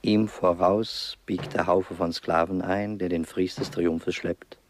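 An actor playing a solemn chronicler, narrating a scripted German-style radio play. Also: male voice, deep voice, fairly even intonation, slow, a little hiss or hum. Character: solemn male chronicler of a Roman funeral.

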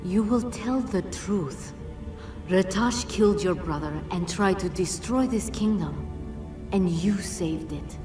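A woman voicing a solemn, regal, ancient female djinn in a video game, speaking in a measured, formal way. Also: mid-range voice, close to an everyday voice. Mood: firm, guiding. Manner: measured, formal, grave delivery. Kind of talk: dramatic video game cutscene acting. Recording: roomy echo, distant, music underneath.

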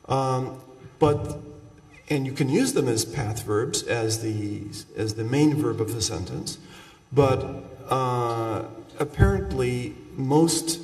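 A man's voice: medium pitch, gravelly. Guy lecturing on linguistics, stops and starts hunting for words, echoey hall, mic far away. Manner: halting, searching for words, explanatory asides. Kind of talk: academic lecture with example sentences. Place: large reverberant lecture room. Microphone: far from the speaker. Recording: echoey hall, distant microphone.

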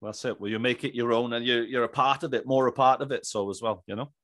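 A man's voice: mid-range voice, steady tone, fast, fairly bright tone, dry recording. Enthusiastic British man, dry close sound. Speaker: podcast host. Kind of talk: casual interview chat. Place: small indoor room, video call. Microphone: studio microphone on arm, headphones.

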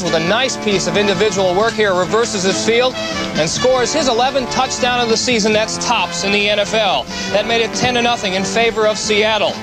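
A man recaps football highlights in a lively, conversational way, walking through each play. His voice is high for a man. Loud music plays beneath him throughout. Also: low-quality recording. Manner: energetic, conversational recap of game footage. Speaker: sports reporter narrating highlights. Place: TV control room full of monitors.

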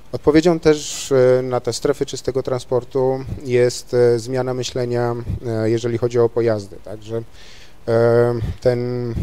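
A man talks on stage at a panel discussion, his voice mellow and dark. He speaks in a measured, articulate way and pauses on small fillers as he builds his point. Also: medium pitch, smooth. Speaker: panelist, automotive journalist and vehicle designer. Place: large university lecture hall.

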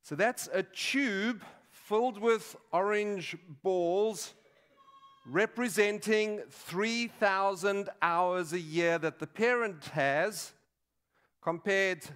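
A man in his sixties preaching a sermon in a deliberate, emphatic way, pausing between short phrases. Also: sits high, fairly bright tone, clean tone. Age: 60s. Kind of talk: sermon with statistics and teaching. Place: large dim auditorium stage at a lectern.

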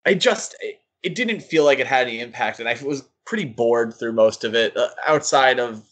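Halting male podcast co-host. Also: mid-range voice, expressive, fairly bright tone, slightly rough. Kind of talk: casual critical comics discussion.

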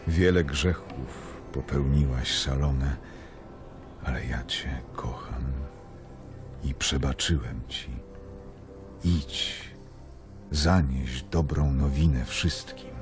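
Niezwykle niski głos zmartwychwstałego proroka. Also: male voice, a bass voice, slow, pauses often, full character voice. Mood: gentle, commanding. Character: risen holy man, tall, mysterious, solemn and forgiving. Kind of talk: radio drama dialogue. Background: music.

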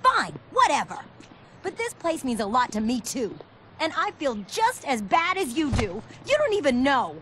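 A spirited young skater girl in a video game, quick to defend herself. The voice is high and sharp, swinging widely, over faint noise. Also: wildly swooping pitch, full character voice. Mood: hurt, defensive. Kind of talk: video game cutscene acting.

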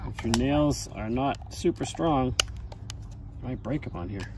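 A man's voice: mid-range voice, animated, measured pace. A middle-aged man chatting casually as he unboxes a product, patiently prompting with questions. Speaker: father reviewing a product with his child. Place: outdoors on a grass lawn. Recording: outdoor handheld recording, distant, noisy ambience.

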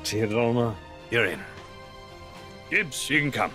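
An actor playing a roguish, eccentric pirate captain with theatrical, swaggering commands in a game cutscene. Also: male voice, mid-range voice, animated, slow pace. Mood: breezy, commanding. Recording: loud music behind the voice.

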